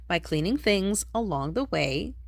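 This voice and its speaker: A woman reading a rhyming children's picture book aloud in an expressive storytelling manner. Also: medium pitch, unhurried, creaky, no echo. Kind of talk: read-aloud storybook narration in rhyme.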